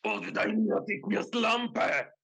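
A man voicing a furious, menacing sorcerer uncle in a storybook audio drama, shouting threats and hissing spells. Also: sits high, takes it slowly, gravelly, slightly rough, faint music behind, voice pushed up, exaggerated voice. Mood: enraged.

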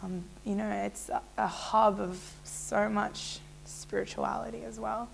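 A woman's voice: mid-range voice, steady tone, unhurried, creaky, a little hiss or hum, poor audio. A young woman in a small indoor room, telling her personal story to a fixed camera in a casual, rambling way with many fillers. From Israel. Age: young adult. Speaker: interviewee.

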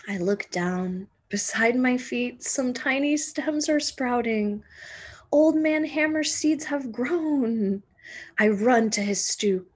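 Female storybook reader, teacher type: husky, slow, gentle and expressive. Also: a little high. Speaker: teacher reading a picture book aloud. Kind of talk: expressive children's storybook read-aloud.

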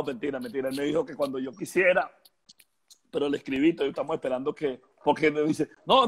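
A man's voice: a little high, slightly rough. An older man with a Venezuelan Spanish accent hosting a casual live-stream interview over a video call, chatty and joking, stretching out phrases for emphasis. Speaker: host. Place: home room with shelves, indoors. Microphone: video call webcam.

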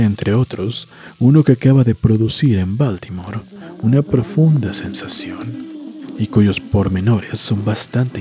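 A man narrating a scripted horror story in a solemn, deliberate, dramatic way, letting phrases trail off softly. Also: mid-range voice. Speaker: host and narrator of a story series. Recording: music behind the voice. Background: music.

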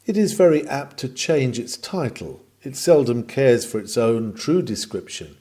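Male sermon reader, medium pitch, mellow tone, oratorical delivery of Victorian prose with weighted pauses. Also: big pitch swings. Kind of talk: dramatic sermon reading of a Victorian text.

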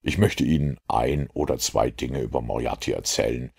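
A man voicing a self-assured master detective who lectures in a measured, superior way in an audiobook. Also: extremely low pitch, creaky, darkish tone, slightly rough, exaggerated voice. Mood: lecturing, confident. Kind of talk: audiobook character dialogue.

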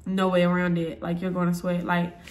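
A woman's voice: lowish voice, monotone, a little fast, darkish tone, a little hiss or hum. A young woman with a stuffy, nasal voice talks at home. She rambles on in a blunt, chatty, profane way while she does her makeup for a vlog. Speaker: vlogger. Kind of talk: casual makeup tutorial vlog.

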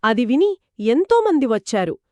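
A woman's voice: a little high, sing-song, moderate pace, darkish tone, dry recording. A woman co-narrating a children's moral story in a conversational storytelling style. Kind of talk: conversational two-person storytelling.

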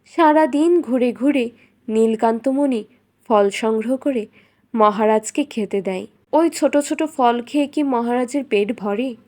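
A woman's voice: on the high side, measured pace, a little mellow. A woman reading a scripted fairy tale as the storyteller of a cartoon.

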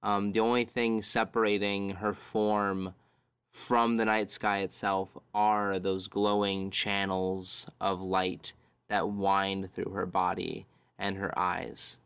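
A man's voice: low voice, flat delivery, takes it slowly, gravelly, fairly bright tone, clean tone, dry recording. A man narrating as game master of a tabletop role-playing game, describing scenes and searching for words.

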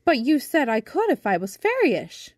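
Woman doing an eager, high-voiced little boy. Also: huge pitch swings, moderate pace, no room sound, studio quality, exaggerated voice. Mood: eager, pleading. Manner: eager, questioning. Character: small naive boy, eager and plucky.

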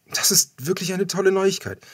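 A man acting an earnest young man, pitched up. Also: normal speed, husky, no room sound, studio quality. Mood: elated. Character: earnest, caring young man, excited father-to-be.

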